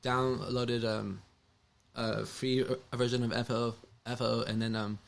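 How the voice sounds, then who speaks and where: A man's voice: medium pitch, level tone, normal speed, vocal fry. A man in his twenties with a stutter, speaking hesitantly with many fillers and restarts. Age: 20s. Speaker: musician, interview guest.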